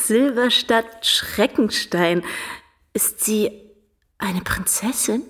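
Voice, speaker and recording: A woman's voice: mid-range voice, slow pace, a bit bright. An actress playing a shy, curious young provincial lady in a radio drama, hesitant and earnest. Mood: wondering. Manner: hesitant, wondering, simple direct questions.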